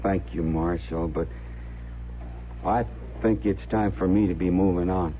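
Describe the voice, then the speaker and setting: An actor playing a humble, melancholy drifter in an Old West radio drama, with halting, folksy rural speech. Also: male voice, low voice, steady tone. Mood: humble, wistful. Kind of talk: 1950s radio western acting. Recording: very muffled, noisy old radio recording.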